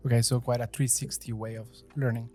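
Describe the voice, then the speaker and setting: A man hosting a casual podcast puts questions to his guests in a relaxed, informal way, with the odd "uh". His voice sits at an average pitch, and soft music plays faintly behind him. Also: fairly quick. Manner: informal, with fillers. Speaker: podcast host. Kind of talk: casual interview.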